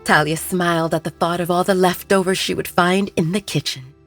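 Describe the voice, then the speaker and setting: A woman's voice: on the low side, steady tone, a little fast, a bit bright. A woman reading scripted dramatic narration as the narrator of an audio story. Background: background music.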